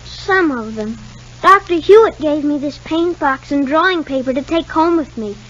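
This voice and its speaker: A woman's voice: sits high, expressive, normal speed, fairly bright tone. A woman playing a shy, polite young boy with hesitant answers in a 1950s radio soap. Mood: guarded, innocent. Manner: reticent, short hesitant answers. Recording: dull 1950s radio recording.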